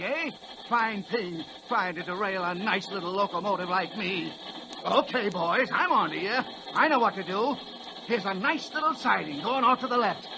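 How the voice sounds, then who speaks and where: High-pitched, excitable little fellow, old radio. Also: male voice. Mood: gleeful, sly. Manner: exclamatory, fretful, theatrical. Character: excitable, high-strung man who fancies himself a runaway locomotive. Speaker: radio drama actor. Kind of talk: 1940s radio comedy acting. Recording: noisy vintage 1940s radio recording.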